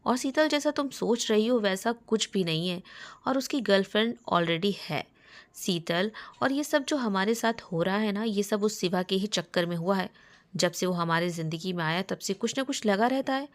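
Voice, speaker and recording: A woman voicing a troubled young college student in a romance story, polite but quick to indignation. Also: mid-range voice, animated, lightly acted. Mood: indignant.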